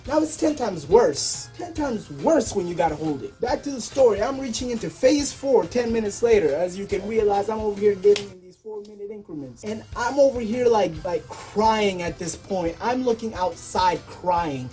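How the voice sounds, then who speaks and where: A young woman tells a casual, comic story about herself in a soft-edged, mellow voice. Her pitch sits in an ordinary middle range, and she dramatizes her own reactions with plenty of exaggeration. Light music plays behind her. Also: animated, normal speed, few pauses. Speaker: storytelling video creator. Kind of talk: casual comedic personal storytelling.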